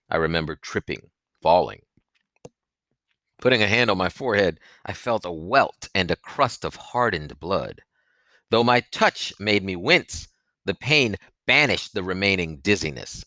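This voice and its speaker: A man narrating an audiobook in an expressive, suspenseful, dramatic storytelling style. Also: low-pitched, no room sound.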